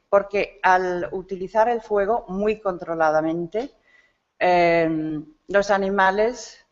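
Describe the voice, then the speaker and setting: A woman delivers a lecture at a very unhurried pace. She halts, searches for the right word and corrects herself as she goes. The recording is a little dull. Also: lowish voice. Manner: halting, searching for words with self-corrections. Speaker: academic speaker at a symposium. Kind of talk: conference lecture. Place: conference hall.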